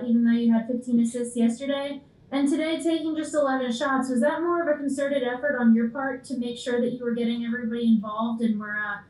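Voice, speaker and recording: A woman's voice: fairly high pitch, a little mellow, reverberant, low-quality recording. A female reporter asking a question at a press conference, correcting herself as she goes.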